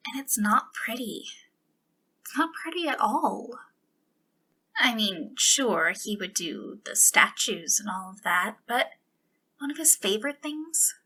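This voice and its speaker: A woman playing an obsessive, sweetly menacing female captor in an ASMR roleplay, speaking intimately in a breathy voice that slips into whispers. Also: on the high side, pushed and bright, exaggerated voice. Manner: breathy delivery slipping into whispers, lingering pauses.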